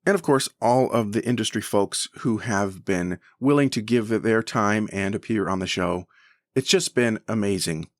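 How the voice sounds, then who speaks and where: A man's voice: on the low side, moderate pace, dry recording, clean studio sound. A man hosting a podcast in a conversational, enthusiastic manner. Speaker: podcast host.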